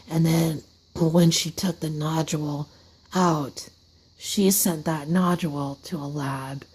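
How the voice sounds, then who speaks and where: A hoarse woman with an airy, breathy voice, telling a casual story-time monologue. She talks haltingly, runs short of breath, and her words often fade into a whisper. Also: deep voice, darkish tone, rough recording. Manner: slips into unvoiced whispers mid-sentence. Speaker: vlogger. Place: seated at a table indoors.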